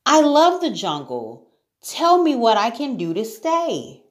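Woman voicing a timid, high-pitched storybook girl. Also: sing-song, unhurried, a little mellow. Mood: pleading. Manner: pleading, apologetic. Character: polite, timid but plucky young girl. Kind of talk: children's storybook character voice.

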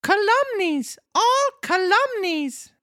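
Lady doing a squeaky little puppet boy from a storybook, very high and theatrical, dry sound. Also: big pitch swings, takes it slowly, pushed and bright, dry recording, heavily acted. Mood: indignant. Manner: exclamatory, theatrical storybook delivery. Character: frightened, dramatic young wooden boy marionette.

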